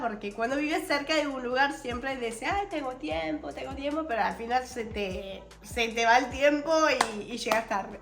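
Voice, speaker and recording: A young woman in her twenties, speaking touches, chatting and telling a story to her followers. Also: on the high side. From France. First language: French. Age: 20s. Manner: chatty, animated, many fillers. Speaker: vlogger. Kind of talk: casual storytelling to camera. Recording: echoey room with faint music.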